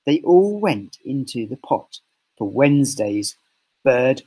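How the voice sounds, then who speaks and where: A man reading a children's story aloud with expressive, deliberate phrasing. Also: medium pitch, breathy edge. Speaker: storybook reader.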